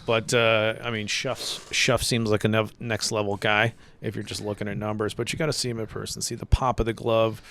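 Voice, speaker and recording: A man co-hosting a casual podcast about baseball, rambling and relaxed, saying "dude" a lot. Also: fairly low pitch, brisk, hardly any pauses, a little hiss or hum. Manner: laid-back, rambling, says "dude" a lot. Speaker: podcast co-host.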